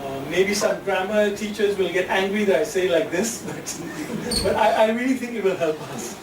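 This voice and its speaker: A woman from India, speaking informally as a club's general evaluator, chatty, self-deprecating and quick to laugh. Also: medium pitch. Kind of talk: informal meeting remarks. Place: club meeting room. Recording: echoey room, distant mic, air conditioning hum.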